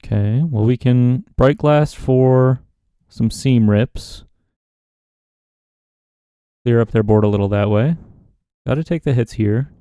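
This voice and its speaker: A man's voice: medium pitch, takes it slowly, pauses often, no room sound, clean studio sound. A man giving casual commentary while playing a card game, thinking aloud about his choices. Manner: relaxed, musing, talks himself through plays. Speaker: gaming content creator.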